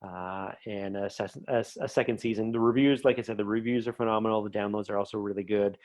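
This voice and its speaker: A man with a fairly low voice talks as a guest in a casual interview. He explains things enthusiastically, in a loose and rambling way. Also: fairly even intonation, fast, darkish tone. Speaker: podcast guest, writer.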